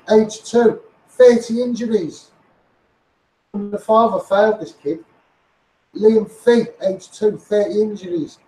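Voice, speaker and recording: A man's voice: high-pitched, dark tone. A man with a Scouse accent delivers an impassioned, repetitive, emphatic campaigning rant through a megaphone. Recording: echoey, distant, noisy megaphone recording.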